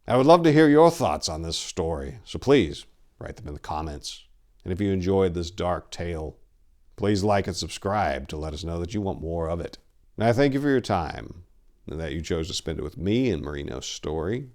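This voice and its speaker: A man with a low voice gives a dramatic reading as narrator. His delivery is brooding, deliberate and theatrical. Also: animated, darkish tone. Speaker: narrator and channel host.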